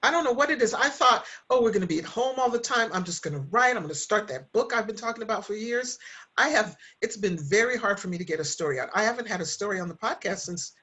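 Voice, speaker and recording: A woman's voice: medium pitch, lively intonation, fast talker, fairly bright tone, slightly rough. A woman talking casually among friends in a conversational way. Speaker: podcast writer, stay-at-home mom. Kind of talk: casual chat.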